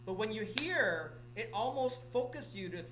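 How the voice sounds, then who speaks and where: A man's voice: sits high, animated, normal speed, background noise. A middle-aged man from Vietnam gives announcements at an office meeting, repeating himself and often checking in with "okay?". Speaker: real estate office manager or broker.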